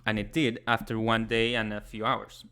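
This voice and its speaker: A young man from Chile with a Chilean Spanish accent, hosting a casual livestream and answering chat in a soft, hesitant way with many pauses. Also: lowish voice, level tone, clear, resonant tone. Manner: soft-spoken, hesitant, many ums and restarts. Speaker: ASMR channel host. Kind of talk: casual livestream chat, reading viewer comments.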